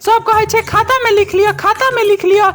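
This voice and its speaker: Woman voicing a very high-pitched hapless young shopkeeper boy. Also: steady tone, normal speed, fairly bright tone. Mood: aggrieved. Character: hapless, gullible young male village shopkeeper. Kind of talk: comic cartoon dialogue. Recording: music behind the voice.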